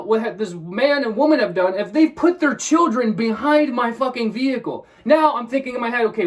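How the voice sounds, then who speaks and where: A man with a high-pitched voice from Washington State, telling a story casually and agitatedly, swearing often and acting out what he said. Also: distant mic. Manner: agitated, swears often, acts out his own quotes. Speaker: vlogger.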